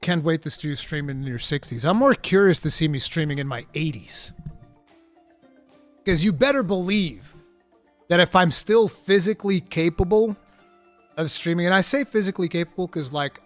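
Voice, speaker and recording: A male live-stream host, a native Portuguese speaker from Portugal, chats casually with his viewers. He is animated and full of quick asides, and his voice sits slightly high over faint background music.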